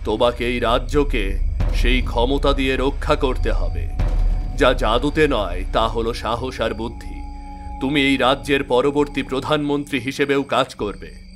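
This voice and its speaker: পুরুষ কণ্ঠে রূপকথার গম্ভীর, ত্যাগী রাজা. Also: male voice, mid-range voice, steady tone, a little slow, a bit bright, soft background music, natural voice. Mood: commanding, trusting. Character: grave, self-sacrificing fairy king, a protective expectant father. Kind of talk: dramatic fairy-tale cartoon dialogue.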